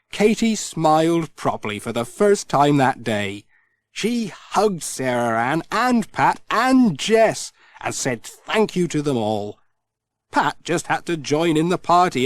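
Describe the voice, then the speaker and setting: A man narrating a children's storybook as an audiobook reader, in an expressive storytelling manner. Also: fairly high pitch, no echo.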